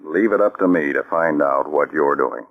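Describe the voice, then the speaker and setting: A man's voice: very deep voice, normal speed, very noisy. An actor playing a firm, authoritative male spy chief in a 1940s radio drama. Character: commanding male chief of counterspies. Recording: dull old radio broadcast.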